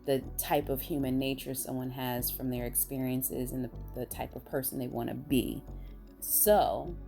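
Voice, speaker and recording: A woman vlogger with a raspy voice, sounding tired, talking in a rambling, blunt and emphatic way. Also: very deep voice, mellow. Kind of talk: casual opinion monologue.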